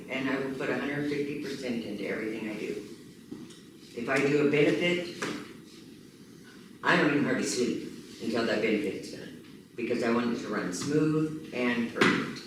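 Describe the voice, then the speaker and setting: Emphatic woman in an echoey meeting room. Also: low voice. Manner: energetic, emphatic, lists things rapidly. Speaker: county commissioner candidate. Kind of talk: campaign talk at a community meeting. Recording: echoey room, distant mic, background noise.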